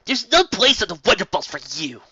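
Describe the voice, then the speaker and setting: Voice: mid-range voice, very animated, brisk, sharp tone, lo-fi sound, voice pushed up. A reader voicing a harsh, scornful female flight-team captain who snarls insults. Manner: snarling, contemptuous.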